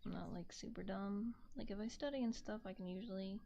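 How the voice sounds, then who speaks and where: A young adult woman from the United States chatting casually in a gentle, hushed ASMR voice that often nears a whisper, close to a binaural microphone. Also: mid-range voice, brisk, gravelly, a little hiss or hum. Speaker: VTuber streamer.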